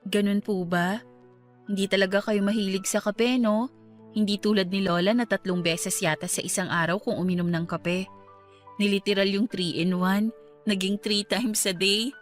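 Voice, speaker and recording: A young female voice of medium pitch with music behind it, an actress playing a grieving student niece. She speaks politely and with care to an elder, in natural radio drama style. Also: fairly even intonation, normal speed, close to an everyday voice. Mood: gentle concern. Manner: polite, deferential to her elder. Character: bereaved young niece, a student, respectful and caring. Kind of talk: Tagalog radio drama acting, naturalistic dialogue. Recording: music bed under the voice. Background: background music.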